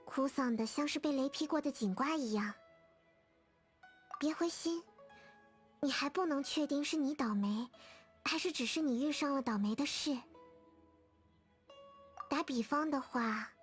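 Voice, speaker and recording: A woman voicing a small, gentle, wise child deity in a video game, speaking thoughtfully. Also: high-pitched, moderate pace, long pauses, close to an everyday voice. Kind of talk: video game character dialogue. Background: music.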